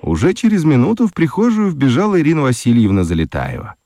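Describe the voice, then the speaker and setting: A man narrating a children's radio play with expressive storytelling. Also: medium pitch, fast, dry recording, clean studio sound.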